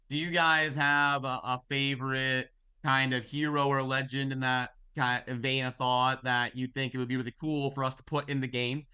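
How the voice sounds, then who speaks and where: A man co-hosting a podcast in casual chat, halting and repeating words as he talks. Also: mid-range voice, sharp tone, smooth. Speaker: podcast co-host.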